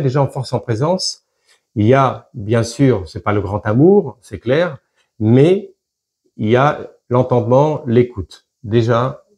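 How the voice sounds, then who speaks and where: A man giving an unscripted fortune-telling card reading, explaining to viewers in a hesitant, halting way that often repeats phrases. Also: mid-range voice, a little mellow, breathy edge. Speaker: fortune teller, tarot card reader.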